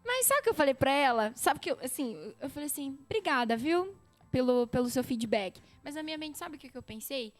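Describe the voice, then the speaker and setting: A young Brazilian woman with a Brazilian Portuguese accent, a podcast guest telling lively stories and speaking straight to listeners. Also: high-pitched, brisk, soft background music. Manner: lively storyteller, often addresses listeners directly. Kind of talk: casual chat.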